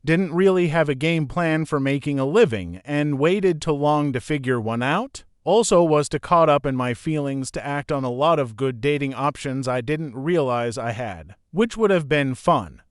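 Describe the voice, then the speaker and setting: A man narrates a string of forum posts in a steady, even read. His voice is smooth and a little higher than most men's, and the recording is dry and close, with no room sound. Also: normal speed, few pauses, no room sound. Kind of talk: scripted narration.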